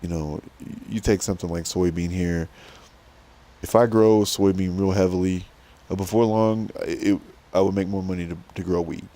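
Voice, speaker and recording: A middle-aged man with a rough smoker's voice, giving easygoing, rambling commentary to viewers while playing a farming game. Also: sits low, steady tone, normal speed, dark tone. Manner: rambling, easygoing, self-deprecating. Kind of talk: casual gameplay commentary to viewers.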